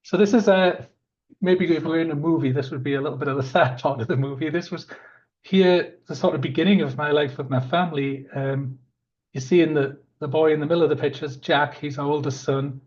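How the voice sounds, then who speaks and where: A man in his fifties, a park planning manager, presents a webinar in a croaky voice, talking in an earnest, conversational way with many fillers. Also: on the high side, steady tone, fairly quick, a little mellow. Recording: dull lo-fi audio.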